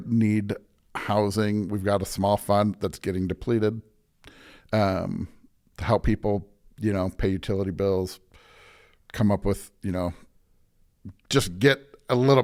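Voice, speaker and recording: An American man who is a pastor, talking informally and a bit haltingly as a guest in a casual interview. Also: lowish voice, steady tone.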